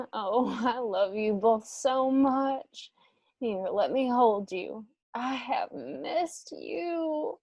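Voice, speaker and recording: Distraught mother for an actress: high voice, very slow halting delivery, dry close sound. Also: mellow, no room sound, muffled recording, exaggerated voice. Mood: groggy, tender. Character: bewildered, distraught mother lost in a nightmare. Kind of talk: radio drama acting.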